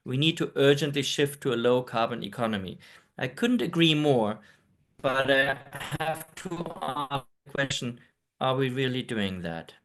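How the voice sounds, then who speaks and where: A man's voice: medium pitch, animated, measured pace, a bit bright. Man from Germany, hesitant earnest presenter on a video call. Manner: hesitant, many ums, earnest.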